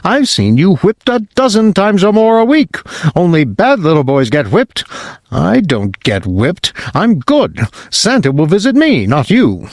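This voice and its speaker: A man's voice: high-pitched, wildly swooping pitch, measured pace, no echo, clean studio sound, voice pushed up, exaggerated voice. A man voicing a spoiled young boy prince who taunts in a sing-song way. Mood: taunting.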